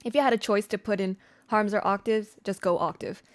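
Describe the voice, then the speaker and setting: A woman with a mid-pitched voice talks casually as she teaches singing technique on a livestream. She is informal and slangy, explaining things step by step and chatting with her viewers along the way. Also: brisk. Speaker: streamer and singer teaching vocal layering. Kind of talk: casual livestream tutorial with chat replies.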